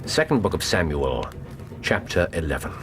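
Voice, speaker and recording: An actor playing a brilliant, self-assured English gentleman detective in a radio drama, with a clipped, wry, musing manner. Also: low-pitched, big pitch swings, moderate pace, noisy background. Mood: pleased, reflective.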